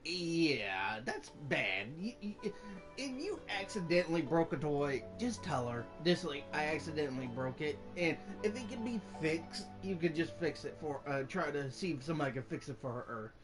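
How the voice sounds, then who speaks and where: A man doing casual gameplay commentary as a channel host, in a halting, informal way. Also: a little high, sing-song. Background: game music.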